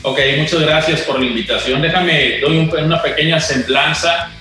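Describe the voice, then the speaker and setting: A man's voice: a little high, moderate pace, bright, forceful tone, faint music behind. Echoey Monterrey-accented man telling stories. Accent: northern Mexican (Monterrey). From Monterrey, Mexico. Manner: anecdotal storyteller, chatty. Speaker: guest, business executive. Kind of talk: conversational interview. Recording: very echoey room.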